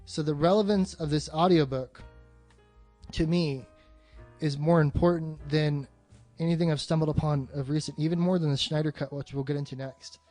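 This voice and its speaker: Profane, higher-voiced man talking movies. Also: steady tone, normal speed, warm tone, soft background music. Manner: profane, emphatic, opinionated. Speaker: podcast co-host. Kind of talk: casual film discussion.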